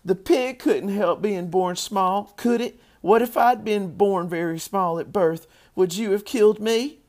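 Man putting on an indignant, high-pitched little farm girl. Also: expressive, normal speed, vocal fry, slightly rough, exaggerated voice. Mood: tearful pleading. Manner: shrill, dramatic protesting. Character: indignant, pleading eight-year-old farm girl.

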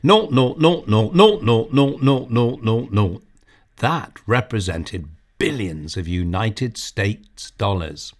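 A man reads a children's story aloud in a slow, measured way. His pitch rises and falls a lot as he tells it, and the delivery stays expressive throughout. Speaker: narrator. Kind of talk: storybook reading.

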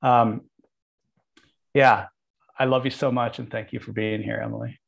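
Man, podcast host, gravelly creaky voice, gentle, appreciative, dull lo-fi sound. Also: medium pitch, little pitch movement, moderate pace. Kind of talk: interview conversation.

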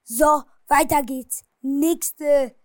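A woman's voice: sits high, fairly even intonation, drawn-out words, no echo, clean studio sound. A woman casually recapping football matches and transfer rumours, hesitating a lot and apologizing for her pronunciation. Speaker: football news vlogger.

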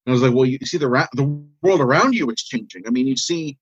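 Male, American. Husky voice, muffled lo-fi sound, casual and earnest, rambles haltingly. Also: mid-range voice, lively intonation, no echo. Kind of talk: casual conversation, earnest opinion.

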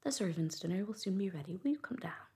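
Woman plays cook calling servants to dinner, whispered, very clear. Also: medium pitch, animated, fast, darkish tone. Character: bustling female household cook.

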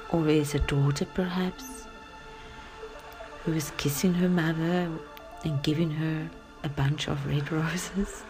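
A woman with a low-pitched, creaky voice guides a relaxing bedtime story. She speaks softly and very slowly, pausing often and slipping toward a whisper. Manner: gentle, hushed, halting with long pauses. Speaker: narrator of guided sleep story. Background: music.

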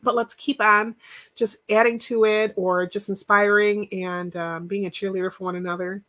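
A woman hosting a casual live chat, rambling and encouraging, thanking viewers by name, with a stuffy nose and a voice that is going hoarse. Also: medium pitch, fairly even intonation, normal speed, a bit bright. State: losing her voice. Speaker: podcast host.

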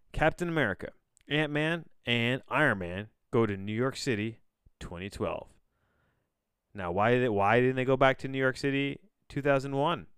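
A man's voice: mid-range voice, fairly bright tone, smooth, dry recording, clean studio sound. A man hosting a solo podcast, casually reading aloud with bemused, rambling asides and frequent self-interruptions. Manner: casual swearing. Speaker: podcast host.

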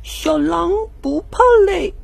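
A woman's voice: extremely high pitch, takes it slowly, creaky, darkish tone, pitched above the performer's own voice, heavily acted. A woman voicing a small, innocent wolf cub as a clinging young child with a childlike, tearful voice in a radio play. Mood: innocent, trusting.

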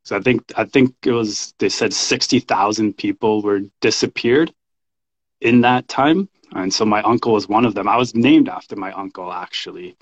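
A man in his thirties telling his personal story casually as an interview guest, in an informal, halting way. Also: lowish voice. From Canada. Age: 30s. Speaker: podcast guest, men's coach and shamanic practitioner. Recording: dull, lo-fi voice recording.

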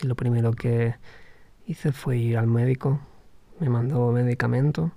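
A man speaks slowly through a personal podcast reflection, often pausing and restarting his sentences. His voice is gravelly and mellow rather than bright. The sound is dry and close, with no room echo. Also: mid-range voice, steady tone. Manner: hesitant, thoughtful, with frequent restarts. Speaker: podcast host.

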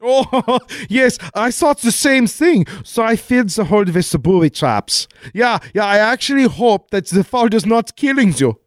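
Radio-play villain: fussy gloating German man, very high voice, wildly swooping delivery. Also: very animated, normal speed, hardly any pauses, no echo, studio quality. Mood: gloating, chatty. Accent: put-on German. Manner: theatrical, hesitant, over-explaining gloating. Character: gleeful, fussy German villain man, scheming and long-winded. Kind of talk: comic 1940s-style radio play acting.

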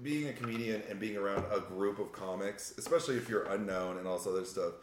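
A man with a low voice talks fast and loose, swearing casually and egging on his guest. He sounds like a comedian hosting a chat show. His voice carries a roomy echo. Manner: quick, profane, encouraging interviewer. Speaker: podcast host, comedian.

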